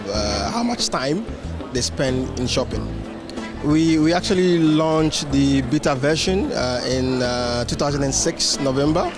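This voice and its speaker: A man from Ghana pitches his startup, pausing often with fillers. Music plays clearly underneath him. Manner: hesitant, many fillers. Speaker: startup founder. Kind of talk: interview pitch.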